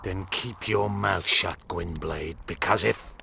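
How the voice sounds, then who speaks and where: A hardened rebel leader in a fantasy video game. The voice is fairly low and sharp-edged. He is blunt, suspicious and clipped, used to giving orders, with faint background noise. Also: slow pace, vocal fry, full character voice. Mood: suspicious, curt. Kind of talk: video game dialogue acting.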